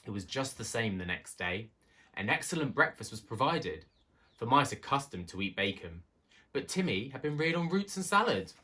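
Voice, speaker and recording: A man reading a children's tale aloud as an expressive storybook narrator. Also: mid-range voice. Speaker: story reader.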